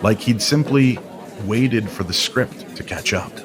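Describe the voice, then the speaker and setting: A man's voice: on the low side, a little slow, a crowd in the background. A man reading dramatic scripted narration for a story.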